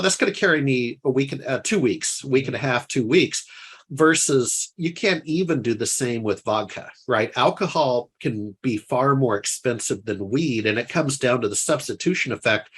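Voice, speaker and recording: Male interview host, casual and jokey, quick to laugh, rambling questions. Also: mid-range voice, a bit bright, breathy edge. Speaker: podcast host.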